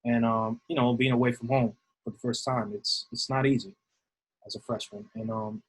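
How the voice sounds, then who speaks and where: A man's voice: medium pitch, normal speed, creaky, breathy edge, low-quality recording. A middle-aged man from New City, answering interview questions in a rambling way with many fillers. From New York City. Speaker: guest, assistant baseball coach.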